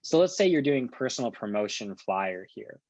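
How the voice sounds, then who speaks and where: A man working as a software trainer, talking through an on-screen walkthrough in an informal, explanatory and reassuring way. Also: mid-range voice, big pitch swings, moderate pace, dry recording.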